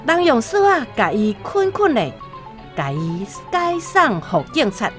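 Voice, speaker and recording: A woman with a Taiwanese accent narrating a radio drama as an expressive scripted story. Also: on the high side, unhurried, faint music behind.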